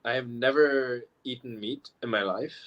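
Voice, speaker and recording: A man's voice: medium pitch, moderate pace, fairly bright tone, highs cut off. A young man from Taiwan, a professional dancer, chatting easily as a guest on a live video call and leaning on casual fillers. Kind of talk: casual interview chat. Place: remote live chat.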